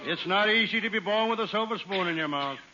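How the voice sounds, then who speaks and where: A man playing a deadpan wisecracking private eye. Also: high-pitched, fast, natural voice. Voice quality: deadpan punchline delivery. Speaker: comic detective narrator. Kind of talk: 1940s radio comedy acting. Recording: dull old radio transcription with hiss.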